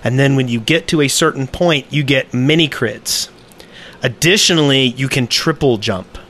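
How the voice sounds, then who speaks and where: A man's voice: medium pitch, normal speed, a bit bright. A man co-hosting a gaming podcast, explaining things casually and searching for his words as he goes. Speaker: podcast co-host.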